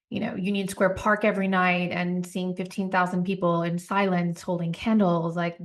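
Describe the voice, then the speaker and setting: A woman in her forties from Puerto Rico, a company founder telling her career story as a podcast guest in a reflective, storytelling manner. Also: fairly low pitch. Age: 40s. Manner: reflective, storytelling, animated asides. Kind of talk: podcast interview.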